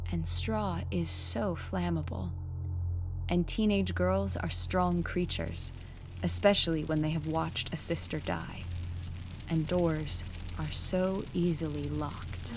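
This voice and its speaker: A woman narrating a scripted horror story in a dramatic, measured way. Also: fairly low pitch, a little mellow. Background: music.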